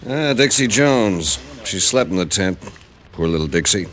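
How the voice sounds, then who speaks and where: An actor playing a sad, subdued carnival owner in an old radio drama. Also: male voice, deep voice, lively intonation, unhurried, a bit bright. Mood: sorrowful. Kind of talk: 1950s radio drama acting.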